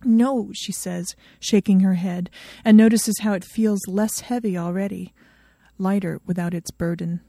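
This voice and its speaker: A woman narrates a piece of fiction from a script. Her voice is dark and soft-edged at a middle pitch, recorded close with almost no room sound. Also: fairly even intonation, normal speed, creaky, no room sound. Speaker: fan fiction reader.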